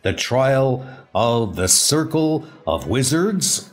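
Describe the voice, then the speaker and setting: A man's voice: mid-range voice, sing-song, takes it slowly. A man voicing a formal, grandiose Viking guardian of a sacred flame in an audio drama. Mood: solemn. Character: Onadir, solemn ceremonial Viking guardian of ancient flame. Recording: music under the voice.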